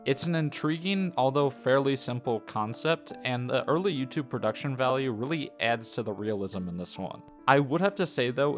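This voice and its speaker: A male video host reads a scripted review in a mid-pitched voice. He speaks with opinionated emphasis, stressing his points as he goes. Music plays behind his narration. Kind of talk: scripted review commentary voice-over. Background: background music.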